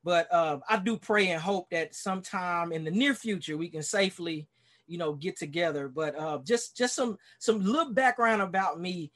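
A man's voice: sits high, normal speed, fairly bright tone. A man in his forties from Dallas with a Texan accent, a pastor sharing his life story in a casual interview over a video call, earnest and rambling with many fillers. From Dallas, Texas. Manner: earnest, rambling, many "you know"s and restarts. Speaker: pastor and podcast guest.

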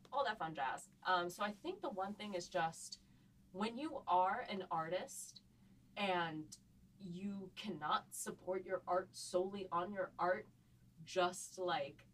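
A woman's voice: on the low side, fairly bright tone, low-quality recording. A woman chatting casually as a writer and co-producer in a panel discussion.

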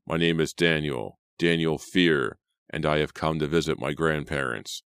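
A man's voice: extremely low pitch, moderate pace, vocal fry, fairly bright tone, smooth, dry recording, studio quality, exaggerated voice. A man voicing a friendly, open young man of eighteen who speaks cheerfully.

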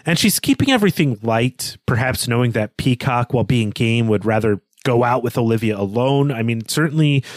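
Male podcast co-host for book analysis, medium-pitched, wordy and analytical, recorded dry. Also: no room sound. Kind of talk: literary discussion.

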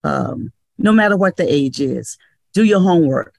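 An older woman from North Carolina, a radio station owner, speaks with a gravelly, slightly rough edge. Her words come slow and considered, broken by frequent pauses. The sound is close and dry, with no room around it. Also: sits low, animated, darkish tone, dry recording. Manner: measured, advising, many uh pauses. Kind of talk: panel discussion. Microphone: video call.

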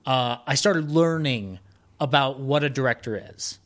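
A man speaking as a podcast guest, reminiscing casually in a rambling, halting way that often circles back mid-sentence. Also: mid-range voice, a bit bright. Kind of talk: casual conversational interview, reminiscing.

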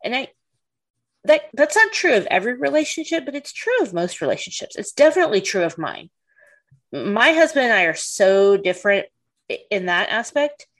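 A woman's voice: fairly high pitch, sing-song, moderate pace, fairly bright tone. A middle-aged woman hosting a podcast, chatting casually and restarting her sentences often. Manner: chatty, many restarts and "you know". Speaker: podcast host. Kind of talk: casual chat.

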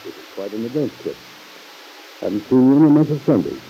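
An actor playing an earnest, patient uncle, speaking in a measured, kindly way in an old-time radio soap opera. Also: male voice, medium pitch, very animated. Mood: pleasantly formal. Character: earnest, concerned uncle, a bank man talking gently to his niece. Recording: muffled hissy old radio recording.

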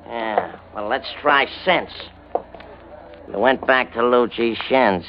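An actor playing a surly, menacing gangster with curt, slangy tough talk in old radio noir style. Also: male voice, mid-range voice, slow pace, noisy background. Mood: irritated menace. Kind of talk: 1940s-50s radio noir acting. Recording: muffled old radio recording.

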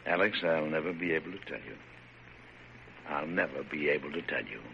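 A man's voice: rumbling low, lively intonation, fairly quick, creaky, dull audio, heavily acted. An American actor playing a dignified, fatherly president in an old radio drama, speaking in a kindly, stately manner. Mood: moved. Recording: noisy, band-limited old radio recording.

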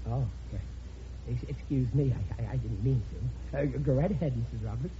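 A man's voice: medium pitch, fairly quick, dark tone, close to an everyday voice. An actor playing a blundering, good-hearted working-class father in an old radio comedy, stammering in folksy grammar. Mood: sheepish. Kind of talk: 1940s radio comedy acting. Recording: muffled, noisy radio broadcast with studio audience.

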